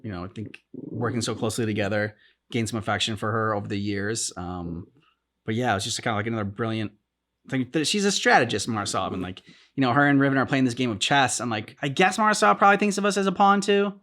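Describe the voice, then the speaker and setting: A man in a casual discussion, talking as a reaction show host in a halting, rambling way as he thinks aloud. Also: mid-range voice, a bit bright. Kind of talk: casual discussion of video game story.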